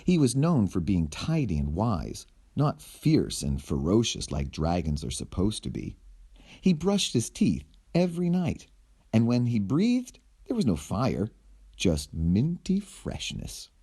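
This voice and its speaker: A man reading a children's picture book aloud with theatrical, deliberate phrasing. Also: fairly low pitch, huge pitch swings, warm tone, slightly rough. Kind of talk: animated children's storybook narration.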